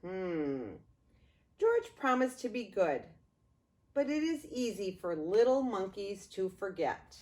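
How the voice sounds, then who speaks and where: An older woman, a grandmother, reading a children's story aloud in an expressive way, drawing out words and pausing. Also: medium pitch. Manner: expressive, with drawn-out words and pauses.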